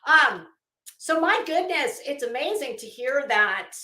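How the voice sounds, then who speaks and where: A woman's voice: sits high, big pitch swings, unhurried, sharp tone. A woman hosting a casual livestream commentary, with a sarcastic, mocking, profane way of talking. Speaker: livestream host. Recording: echoey untreated room.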